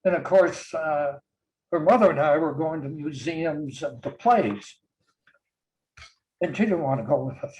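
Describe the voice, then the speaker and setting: Older man, gentle and slow reminiscing. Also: a little high, animated, a little hiss or hum, low-quality recording. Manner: slow, gentle, warm storytelling with soft chuckles. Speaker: guest sharing memories. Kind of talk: casual reminiscing.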